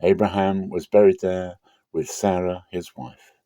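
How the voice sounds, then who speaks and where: A man giving a dramatic scripture reading in measured, short phrases. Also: low-pitched, level tone, dark tone, dry recording. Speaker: Bible reader.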